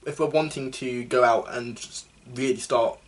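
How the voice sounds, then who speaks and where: A young male drama student asking questions in a casual chat, a little halting. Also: medium pitch, steady tone, rough recording. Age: young adult.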